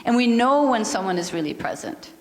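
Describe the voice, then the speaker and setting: A middle-aged woman from Denmark, Danish native, speaking with a Danish accent and giving a calm, earnest spiritual talk as a yoga teacher, often repeating words as she gathers her thoughts. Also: fairly high pitch, fairly quick. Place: spiritual community meeting hall. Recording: reverberant hall.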